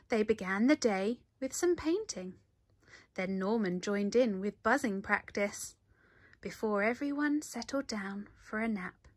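A woman reading a children's story aloud in an animated way, with dramatic emphasis. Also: medium pitch, dry recording, studio quality. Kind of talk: expressive picture-book read-aloud.

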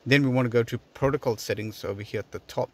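A man's voice: mid-range voice, fast talker. A man hosting a how-to video, explaining things step by step in a casual, conversational way inside a parked car. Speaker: video host giving a tutorial.

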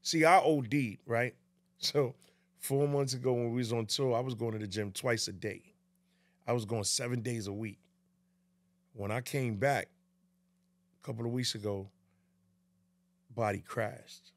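Male podcast guest, 50s, creaky voice, emphatic casual talk, dry close studio sound. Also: mid-range voice, steady tone, measured pace, pauses often, no room sound. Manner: emphatic, repeats himself, often asks "you know what I mean". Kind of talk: casual interview chat. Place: small indoor podcast studio. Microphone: studio mic on boom arm.

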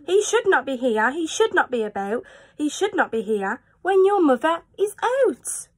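A scolding little storybook fish, acted out by a woman, pitched high. It is anxious and bossy, exclaiming its protests with real indignation. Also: big pitch swings, moderate pace. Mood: alarmed, scolding. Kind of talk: children's storybook read-aloud.

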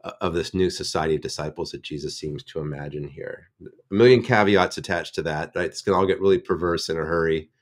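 Deep-voiced middle-aged man, reflective. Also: a little fast. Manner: reflective, thinking aloud in long sentences. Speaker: seminary professor. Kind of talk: casual theological discussion. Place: home office. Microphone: video call.

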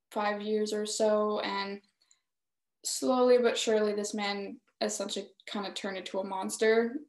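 A woman's voice: medium pitch, echoey room. A young woman artist on a video call, talking hesitantly with many fillers. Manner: hesitant, many ums and "essentially". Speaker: exhibiting artist. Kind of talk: panel discussion. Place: home room, indoors. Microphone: video call laptop mic.

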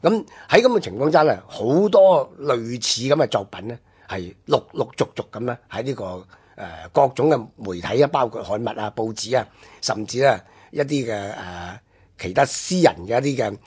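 Man, Hong Kong Cantonese accent, radio history commentator, husky mid-pitched voice, halting phrasing, drawn-out particles. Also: lively intonation, a little mellow. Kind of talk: unscripted explanatory history talk.

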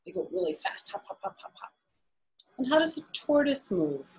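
A woman's voice: medium pitch, sing-song, moderate pace, a little mellow, rough recording. Middle-aged woman hosting children's storytime. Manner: simple explanations with questions to young listeners. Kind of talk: reading and explaining a fable to children. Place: indoors, small living room on a sofa.

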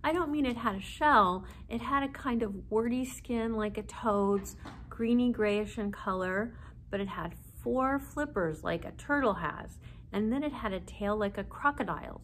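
A woman in her forties reading a children's story aloud as narrator, seated indoors a short way from the camera. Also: mid-range voice. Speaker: library story reader. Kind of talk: children's book read-aloud. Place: small indoor room, seated. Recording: faint background noise.

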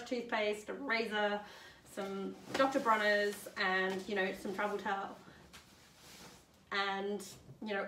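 A woman's voice: mid-range voice, a bit bright. A young woman chatting casually through her hiking gear at home, with many ums, standing back from the camera in her living room. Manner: chatty, upbeat, many "um"s, holds up items while talking. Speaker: hiker presenting her gear list. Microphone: camera standing a few metres away. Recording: roomy, distant camera mic, faint hiss.

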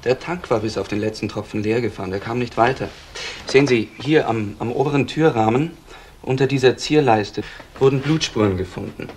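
An actor playing a methodical police assistant in a radio crime drama, reporting in a halting, factual way. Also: male voice, mid-range voice, darkish tone, a little hiss or hum, natural voice. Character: methodical male police assistant reporting findings to his superior.

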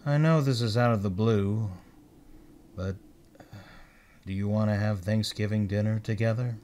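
A man playing a gruff, weary but decent police officer in a comic book fan dub, dry and plainspoken. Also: fairly low pitch, steady tone, measured pace, darkish tone, close to an everyday voice. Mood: tentative, kindly.

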